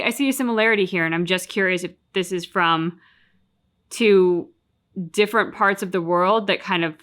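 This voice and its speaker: A woman's voice: lowish voice, a bit bright. A woman co-hosting a reaction channel, casually discussing a video game and acting out bits of what characters say.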